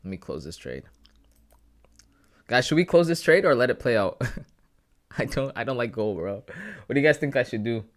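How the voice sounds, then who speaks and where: A man's voice: medium pitch, big pitch swings, moderate pace, long pauses. A man in his thirties chatting casually with viewers on a live trading stream, slangy and laughing often. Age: 30s. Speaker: livestreaming forex trader.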